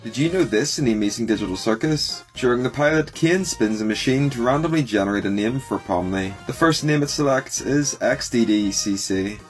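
Man narrating trivia, mid-pitched. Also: expressive, brisk, hardly any pauses, soft background music. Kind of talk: scripted fact narration for short video.

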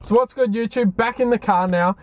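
A man's voice: very high voice, a little fast, faint music behind. A man in his twenties with an Australian accent, chatting casually as a fitness vlogger. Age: 20s. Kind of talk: casual vlog chat and workout commentary.

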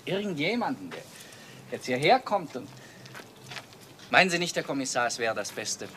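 Eager, bright-voiced nephew. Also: male voice, medium pitch, big pitch swings, normal speed. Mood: insistent. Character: talkative, eager nephew of a rich businessman. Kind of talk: German crime radio drama acting. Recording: old radio play with faint noise.

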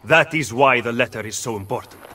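A man's voice: medium pitch, fairly quick, pushed and bright, slight background noise, lightly acted. A man playing an earnest young Roman rebel. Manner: earnest, urgent. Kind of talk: video game cutscene dialogue.

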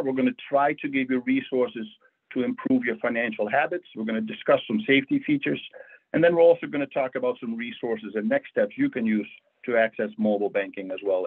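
A man's voice: medium pitch, slightly rough. A man from the Netherlands, presenting a friendly banking webinar with lots of fillers over a video call. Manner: friendly, conversational with frequent fillers. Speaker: bank financial educator. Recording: tinny phone-like audio.

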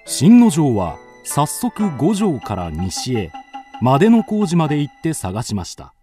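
Male narrator, ghost story, fairly high mellow voice, expressive intonation, music behind. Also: unhurried.

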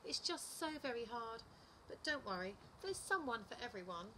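女性が演じる高い声の優しいフクロウ、ノイズのある録音. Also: female voice, a little high, big pitch swings, normal speed, noisy background, voice pushed up. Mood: reassuring. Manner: frank but reassuring. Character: kindly, frank owl giving advice.

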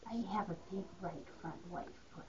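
A woman voicing a sleepy, happy female puppy in a gentle, sing-song way, on a muffled, hissy home video. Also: on the low side, fairly even intonation, moderate pace, a crowd in the background, close to an everyday voice.

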